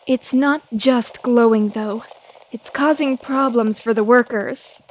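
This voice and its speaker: An actress playing a brash, tenacious 1930s newspaper reporter, feisty and full of snappy period slang. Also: female voice, fairly high pitch, steady tone, slow pace, a little hiss or hum. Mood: insistent. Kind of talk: old-time radio drama acting.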